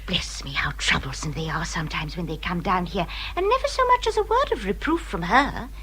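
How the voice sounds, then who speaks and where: An actress playing a middle-aged country gentlewoman in a period radio drama, affectionate and fussy, with an English accent and an effusive, confiding manner. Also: lowish voice, very animated, fairly quick, husky, heavily acted, low-quality recording. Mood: confiding grumble.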